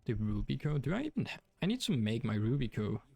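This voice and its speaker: A man's voice: medium pitch, very animated, fast talker, gravelly, dark tone. A young man from Denmark with a Danish accent, chatting casually while streaming a game, rambling with many fillers. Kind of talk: casual gaming stream chat.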